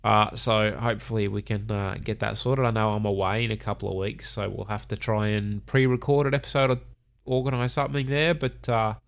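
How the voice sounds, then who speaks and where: A man's voice: lowish voice, level tone, brisk, vocal fry. An Australian man co-hosting a podcast in casual chat, a bit nasal from a cold, laid-back with lots of fillers. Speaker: podcast co-host.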